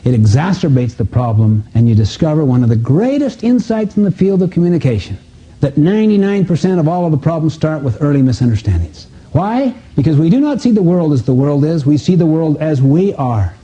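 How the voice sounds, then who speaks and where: Male motivational speaker, husky and mellow, emphatic live-seminar delivery with pointed questions, lo-fi sound. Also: on the high side, expressive, brisk. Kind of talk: live self-help seminar talk, teaching with acted-out dialogues. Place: seminar room before an audience. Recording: dull, muffled lo-fi audio.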